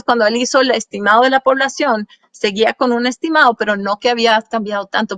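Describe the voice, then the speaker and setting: A woman's voice: fairly high pitch, fairly bright tone, no room sound. A woman presenting research slides to an audience, talking with many fillers and restarts and slipping in English words. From Colombian parents. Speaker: guest speaker.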